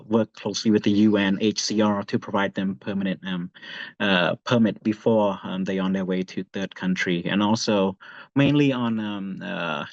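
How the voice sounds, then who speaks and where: A young man speaks on a video call, his voice a bit low and the sound dull and lo-fi. The room is dry, and he fills his pauses with "um" and "you know". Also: no room sound. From Cambodia. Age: young adult. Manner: halting, many fillers. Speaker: youth activist, panelist. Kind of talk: webinar introduction.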